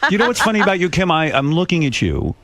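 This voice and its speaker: A man's voice: a little high, huge pitch swings, fast, a crowd in the background. A man hosting a radio show, teasing a guest with brash, flirtatious banter. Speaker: radio host. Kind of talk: casual studio banter.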